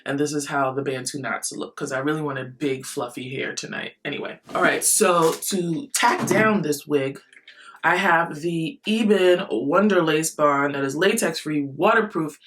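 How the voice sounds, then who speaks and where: A woman beauty vlogger who just woke up, chatting to camera with a low morning voice in a rambling, playful way. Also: a little slow, fairly bright tone. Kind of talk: casual chat to camera.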